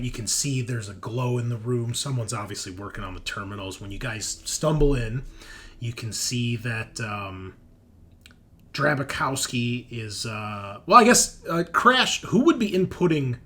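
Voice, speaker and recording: A man's voice: mid-range voice, expressive, normal speed, sharp tone. A man narrating a tabletop roleplaying game as game master, conversationally, often checking in with his players. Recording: noisy background ambience.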